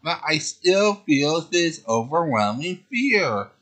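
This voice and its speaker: A man voicing a young heroine girl, frightened and tearful, speaking in a trembling, pleading way with a raised pitch. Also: sing-song, slow, a bit bright, full character voice. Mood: scared, tearful.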